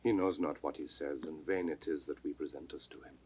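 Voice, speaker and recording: An actor playing a grave, dignified duke in a classical Shakespeare audio drama, with a husky voice and formal, stately declamation. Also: male voice, rumbling low, fairly even intonation, a little fast, darkish tone, slight background noise. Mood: grave authority. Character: grave noble duke taking command, dignified, measured. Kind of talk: 1960s recorded Shakespeare drama, classical stage acting.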